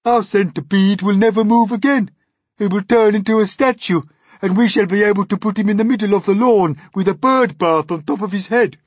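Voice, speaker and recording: A woman voicing a gloomy male earthworm who speaks loudly and emphatically. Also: mid-range voice, a little fast, breathy edge, no echo, studio quality, pitched above the performer's own voice, close to an everyday voice.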